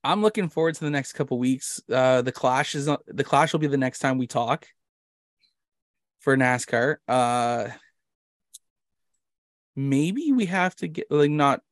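A man's voice: mid-range voice, unhurried, long pauses, no echo, studio quality. A man with a Canadian accent hosting a podcast in casual chat, rambling, jokey and self-deprecating. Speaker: podcast host.

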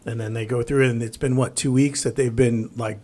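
A man's voice: mid-range voice, fairly even intonation, brisk, warm tone. A man chatting easily as a podcast guest and filling in details for the others. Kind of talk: casual podcast chat.

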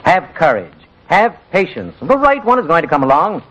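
A muffled old radio comedy recording. a pompous lovelorn counselor with a fairly high voice. He is measured and paternal, handing out solemn reassurance. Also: huge pitch swings, fairly bright tone, exaggerated voice. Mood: paternal, soothing. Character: pompous, soothing male lovelorn advice counselor. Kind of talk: 1940s radio comedy acting.

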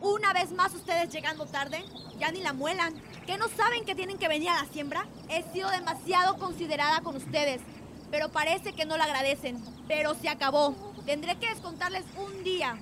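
Girl playing a bossy mexican patrona, really high voice, snooty, radionovela, noisy outdoor background. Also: moderate pace. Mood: scornful, angry. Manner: imperious, scolding. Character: haughty, harsh female landowner boss, contemptuous of workers. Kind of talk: amateur student radionovela acting.

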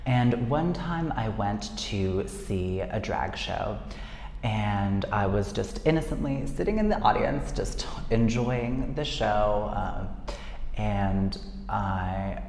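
A man's voice: fairly low pitch, animated, slow, gravelly, a little mellow. A man hosting a casual solo podcast, chatty and self-deprecating, often laughing. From United States. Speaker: podcast host. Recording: echoey room with long reverb and faint noise.

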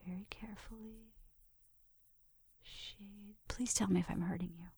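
A woman performing an ASMR roleplay in a gentle, breathy whisper, murmuring through each step close to the microphone. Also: medium pitch, steady tone, unhurried. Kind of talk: ASMR roleplay, soft personal attention. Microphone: close microphone, dry sound.

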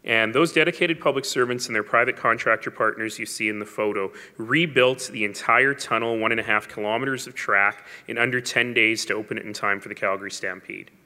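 A man's voice: mid-range voice, pushed and bright. A man giving a public speech as a mayor, telling stories with wry asides and emphasis. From Canada. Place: large hall. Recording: long hall reverb.

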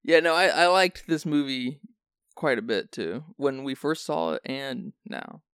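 A man hosting a casual film podcast, relaxed and rambling with a sleepy, raspy voice and jokey asides. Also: medium pitch, a little slow, no room sound, studio quality. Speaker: podcast host.

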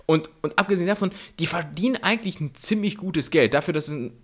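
Slightly high-voiced laughing podcast man. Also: fast, fairly bright tone. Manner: laughing, rambling, colloquial banter. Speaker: podcast co-host. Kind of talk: casual comedic chat.

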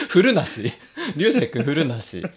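A man's voice: a little high, wildly swooping pitch, slow pace, a crowd in the background. A man co-hosting a podcast in casual comic banter, teasing and often laughing as he talks. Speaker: podcast co-host.

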